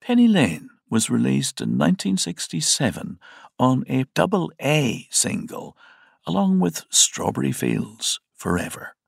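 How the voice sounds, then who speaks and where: Very gravelly male podcast narrator. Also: mid-range voice, sing-song, a little slow, no room sound, clean studio sound. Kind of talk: scripted narration.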